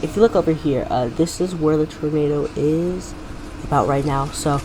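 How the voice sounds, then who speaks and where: A man playing a flustered local weather broadcaster, giving halting, repetitive live emergency warnings full of fillers. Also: fairly high pitch, noisy background, natural voice. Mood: alarmed, flustered. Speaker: TV storm meteorologist.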